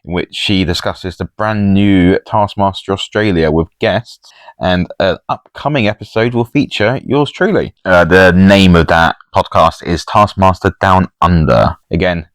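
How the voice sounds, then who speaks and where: A man's voice: low voice, unhurried, no echo. A man hosting a podcast, speaking in casual chat and commentary. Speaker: podcast host.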